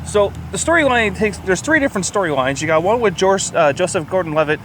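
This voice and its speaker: A man's voice: lively intonation, fast talker. A man co-hosting a podcast, casually reviewing a movie in a crude, joking, profane way. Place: outdoors or in a car near a highway. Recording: outdoor traffic noise from nearby highway. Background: highway traffic.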